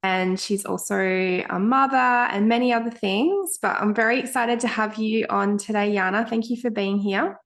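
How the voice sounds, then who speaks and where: A woman's voice: mid-range voice, fairly even intonation, normal speed, few pauses, fairly bright tone. A woman hosting an interview podcast, gushing with compliments as she introduces and questions her guest. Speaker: podcast host.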